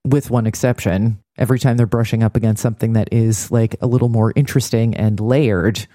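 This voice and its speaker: A man's voice: on the low side, fairly even intonation, brisk, warm tone, no room sound, studio quality. A man co-hosting a casual recap discussion in a wry, critical way. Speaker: podcast co-host.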